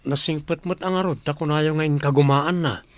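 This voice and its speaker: A man's voice: on the high side, steady tone, moderate pace, no echo, lightly acted. An actor playing a kind, attentive young working man in an Ilocano radio drama. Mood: thoughtful.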